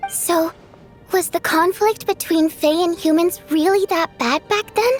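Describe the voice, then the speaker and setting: A woman's voice: very high voice, unhurried. A woman voicing an earnest, tender-hearted young girl, innocent and thoughtful, in a video game dub. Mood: pensive, questioning. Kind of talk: English game dub voice acting. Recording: music under the voice.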